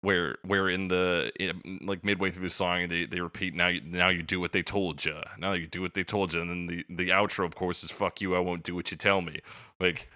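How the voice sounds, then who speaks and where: A man's voice: deep voice, flat delivery, a little fast, pushed and bright, dry recording, clean studio sound. A man co-hosting a podcast in a casual, slangy, opinionated way, often putting questions to his partner. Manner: conversational, opinionated, probing with questions. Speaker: podcast co-host.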